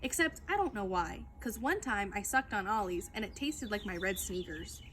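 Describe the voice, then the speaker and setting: Animated woman reading a children's story. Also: medium pitch, moderate pace, slight background noise. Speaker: storytime reader. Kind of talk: expressive children's book read-aloud.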